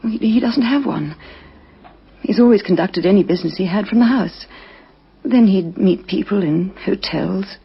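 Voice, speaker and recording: Shaken rich widow, super breathy, hesitant, muffled old radio. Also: female voice, medium pitch. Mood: halting, defensive. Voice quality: breathy, husky. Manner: halting, hesitant. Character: shaken, well-to-do wife newly widowed. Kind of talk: vintage radio drama acting. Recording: dull old radio recording.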